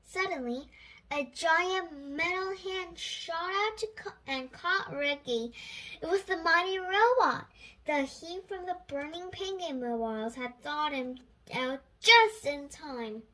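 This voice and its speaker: A child reading a storybook aloud in a halting way, correcting slips along the way. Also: high-pitched, sharp tone, poor audio.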